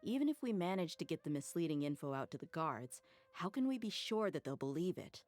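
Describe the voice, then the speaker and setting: Confident young female game character, low voice, animated wry delivery, faint music behind. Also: a little fast. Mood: wry, impressed. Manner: casual, wry. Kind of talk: video game voice acting.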